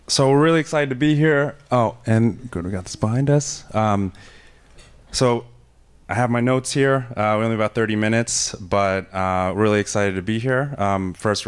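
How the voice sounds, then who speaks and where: Low, smooth-voiced man speaking on stage. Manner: energetic, self-described loud voice. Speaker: panel speaker. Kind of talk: conversational panel talk. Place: conference luncheon stage. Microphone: handheld microphone.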